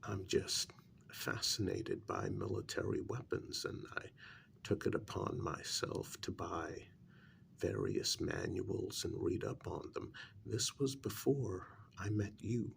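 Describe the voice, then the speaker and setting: A man playing a calm, blunt human familiar to a vampire queen in a soft, half-whispered ASMR role-play, speaking formally and deliberately. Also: sits low, gravelly, a little hiss or hum, exaggerated voice. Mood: frank, measured.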